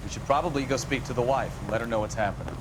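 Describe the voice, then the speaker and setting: An actor playing a cynical, sardonic 1940s American police detective in a video game, wry and slangy. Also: male voice, medium pitch, very fast, noisy background, lightly acted. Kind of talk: dramatic video game acting.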